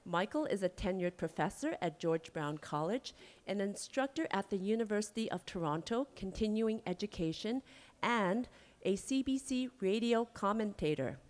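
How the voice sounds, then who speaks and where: Woman presenter reading a prepared introduction, formal and measured. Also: mid-range voice, animated, a little mellow. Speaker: library event presenter.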